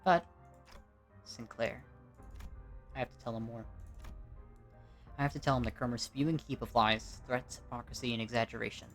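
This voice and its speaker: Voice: mid-range voice, steady tone, normal speed, long pauses, faint music behind. An amateur narrator reading a dramatic story at home, in a scripted storytelling style. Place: at home, recording indoors.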